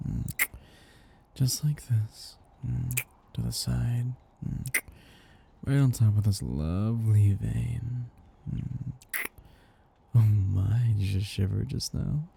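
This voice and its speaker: A man voicing a possessive, tender vampire noble in an intimate ASMR roleplay, coaxing slowly and dotingly in a husky, gravelly voice. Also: fairly low pitch, noisy background, heavily acted. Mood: hungry, teasing. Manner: slow, coaxing and doting, with soft hums.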